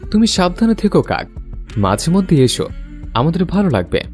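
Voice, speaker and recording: The sensible, kindly father bird of an animated bedtime fable, lilting earnestly and softly dark-toned from his branch, played by a man. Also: high voice, brisk, soft background music. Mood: friendly. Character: friendly, sensible male bird, helpful husband. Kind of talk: scripted cartoon dialogue.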